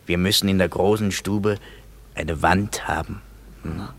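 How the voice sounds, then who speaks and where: A man playing a fussy, excitable craftsman who directs an amateur play in a comic German radio drama. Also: sits low, a little slow, heavily acted. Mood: fussy, pondering. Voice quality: husky.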